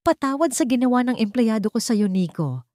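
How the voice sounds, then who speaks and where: A woman's voice: fairly high pitch, lively intonation, fairly quick, mellow, dry recording, clean studio sound, pitched above the performer's own voice, lightly acted. A woman voicing a stern, righteous male chairman with a controlled, commanding manner in a drama story. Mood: solemn, grateful. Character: stern, righteous male company chairman, wealthy, grateful son. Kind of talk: dramatic audio storybook acting.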